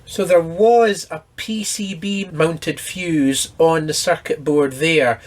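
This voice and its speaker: Higher-voiced man explaining a repair. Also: steady tone, slow pace. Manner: matter-of-fact, explanatory. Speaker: host of an electronics repair channel. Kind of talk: casual hands-on repair walkthrough.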